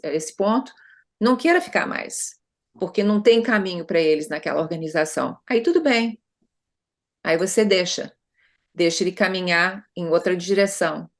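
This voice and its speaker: A middle-aged Brazilian woman consultant speaking conversationally in an interview, often adding tag questions and restarting her sentences. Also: on the low side. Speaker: consultant guest.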